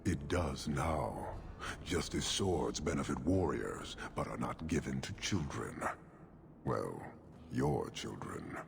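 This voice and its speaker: A man playing a stern, contemptuous giant horned warrior commander in a video game, speaking in measured, formal pronouncements with a gravelly voice. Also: very deep voice, big pitch swings, background noise, exaggerated voice. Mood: stern, lecturing. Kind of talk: video game cutscene acting.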